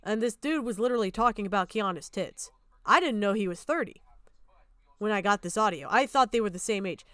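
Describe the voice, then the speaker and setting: A woman's voice: mid-range voice, lively intonation, brisk, a bit bright, dry recording. A woman streamer giving a casual, blunt reaction rant, swearing heavily and indignantly.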